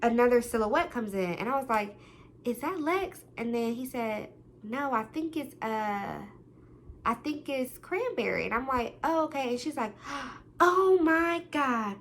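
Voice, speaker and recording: Loud, animated young woman telling a story. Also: high-pitched, unhurried, a little hiss or hum. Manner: loud, animated, quotes people in different voices. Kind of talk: casual storytime monologue.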